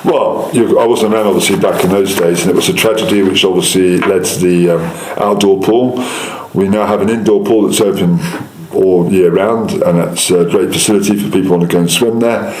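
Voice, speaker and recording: A man's voice: deep voice, steady tone, fairly quick, darkish tone, reverberant, rough recording. A middle-aged man answering political interview questions as a local councillor, assured, repeating and correcting himself as he makes his case.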